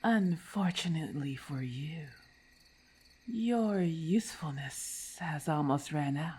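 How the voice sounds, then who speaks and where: A woman voicing a haughty, menacing female lamia in an ASMR roleplay, with a husky, breathy voice and formal, condescending diction. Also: low-pitched, animated, slow, darkish tone, slight background noise. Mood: hungry, threatening. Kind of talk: ASMR roleplay, breathy half-whispered acting.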